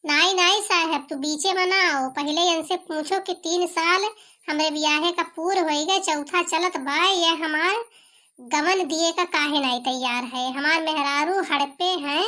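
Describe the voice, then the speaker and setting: A man's voice: very high-pitched, measured pace, bright, forceful tone, exaggerated voice. A man voicing a distraught, stubborn young village husband in a cartoon comedy, whining defiantly with an eastern Uttar Pradesh accent. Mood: stubborn, aggrieved.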